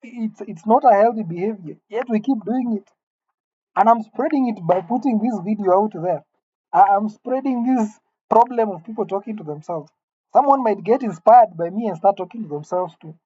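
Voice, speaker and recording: A man's voice: high voice, moderate pace, mellow, muffled recording, slight background noise. Wry, rambling man in his late twenties. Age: late 20s. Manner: wry, rambling, self-mocking. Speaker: vlogger. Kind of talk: musing solo monologue to camera. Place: empty room.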